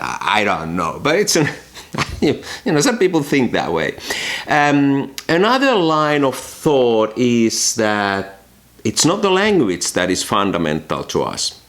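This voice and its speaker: A man from Finland with a Finnish accent, hosting a photography channel and reflecting thoughtfully to camera from a room at home, often pausing with ums and restarts. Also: fairly low pitch.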